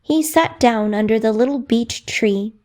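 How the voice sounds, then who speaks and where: A woman narrating a children's bedtime story in a rhythmic, expressive way with dramatic emphasis. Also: on the high side, unhurried.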